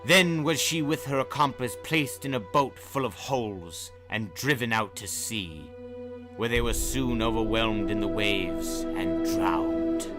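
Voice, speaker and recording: A man narrating a scripted story in a theatrical manner, with ornate, measured phrasing. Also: fairly low pitch, over music.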